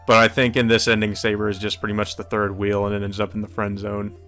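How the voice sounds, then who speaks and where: A man's voice: fairly low pitch, monotone, fast, fairly bright tone, faint music behind. A man reading visual novel narration aloud as a let's player, with casual commentary.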